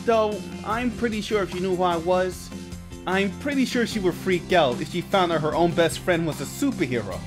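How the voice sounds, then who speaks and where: A man's voice: high-pitched, normal speed, natural voice. A man playing an earnest, wimpy teen boy superhero who narrates and talks in a comic dub. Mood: reflective. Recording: music behind the voice.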